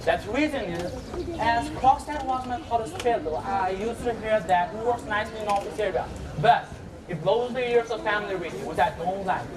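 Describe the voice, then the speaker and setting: A woman's voice: mid-range voice, moderate pace, echoey room, natural voice. A young woman acting a stubborn, self-important husband and bank manager in a school stage play, firm and dismissive. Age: young adult. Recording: distant mic with clear background noise.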